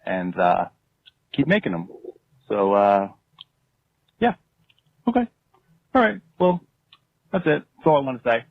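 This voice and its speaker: A man leaving a casual recorded message in a hesitant, awkward, deadpan way that trails off. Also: mid-range voice, a little slow, vocal fry, pauses often, no room sound. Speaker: guest leaving a congratulatory message. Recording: dull lo-fi dry message recording.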